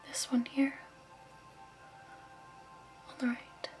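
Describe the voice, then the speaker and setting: A woman playing a gentle, soothing crystal shop keeper in a hushed, patient ASMR roleplay whisper. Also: very slow, faint music behind. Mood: gentle, explaining.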